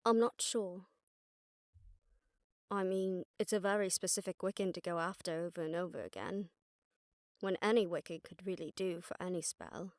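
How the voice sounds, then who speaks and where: A woman playing an exasperated, sharp-tongued witch detective in an audio roleplay, talking casually and wryly to a partner. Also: on the low side, moderate pace, long pauses, dry recording, studio quality, close to an everyday voice. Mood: pondering. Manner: casual, wry, mildly swearing, explanatory. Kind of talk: conversational audio roleplay acting.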